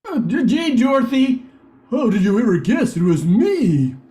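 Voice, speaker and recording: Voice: mid-range voice, sing-song, a little slow, warm tone. A bumbling lion police chief in a comic radio play , acted out by a performer. The delivery is nervous and hesitant, swinging widely up and down as the timid creature gets flustered. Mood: timid, friendly.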